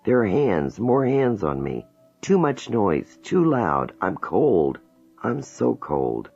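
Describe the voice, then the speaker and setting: A man's voice: lowish voice, big pitch swings, slow, vocal fry, a little mellow, breathy edge, faint music behind, pitched above the performer's own voice, heavily acted. A man reading an audiobook voices a frightened woman in a hypnotic trance, pleading and scared. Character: terrified young woman reliving her birth. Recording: dull lo-fi recording.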